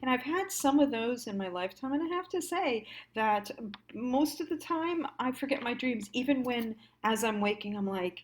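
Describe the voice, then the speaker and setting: A woman hosting a storytelling series, thinking aloud in a casual, halting, conversational way with trailing thoughts. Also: a little high, expressive. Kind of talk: casual reflective monologue.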